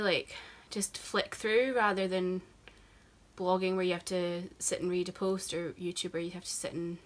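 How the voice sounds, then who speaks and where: A woman's voice: lowish voice, fairly bright tone. A young woman, chatting to camera as a book vlogger. She has a cold and sounds nasal, and she rambles with lots of fillers. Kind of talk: casual chat to camera.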